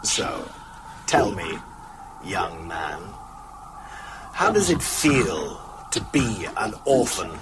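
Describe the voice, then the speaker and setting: An actor playing a mocking, cruel master vampire in a radio horror drama, with a gravelly voice and theatrical, taunting delivery. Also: male voice, deep voice, sing-song, very slow, long pauses, background noise, exaggerated voice. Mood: cold mockery.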